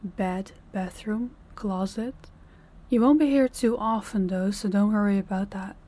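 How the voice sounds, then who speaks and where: A woman playing a dominant, cold mafia boss in a roleplay audio, speaking to the listener in a deliberate, condescending, commanding way. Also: mid-range voice, fairly even intonation, mellow, close to an everyday voice. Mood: cool, commanding.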